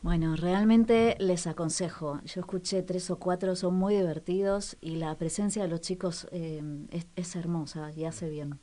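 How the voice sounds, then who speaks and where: A woman's voice: fairly low pitch, steady tone, a little slow, few pauses, mellow. A woman co-hosting a cultural radio show in a casual, conversational way, searching for words and repeating for emphasis. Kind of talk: casual spoken radio segment.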